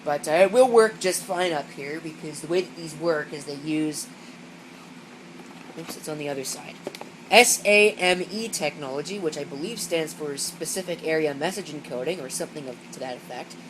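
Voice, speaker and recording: A woman's voice: low voice, normal speed, low-quality recording. A woman giving a casual, unscripted product walkthrough, rambling and matter-of-fact as she reads out packaging and corrects herself. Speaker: gadget reviewer showing an unboxing. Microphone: built-in camera microphone. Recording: steady background hum, amateur camera audio.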